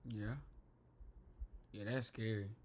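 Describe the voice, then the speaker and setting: A man's voice: fairly low pitch, fairly even intonation, slow, darkish tone, slight background noise. A man hosting a reaction video, talking casually in heavy slang, hyped and repeating his catchphrases.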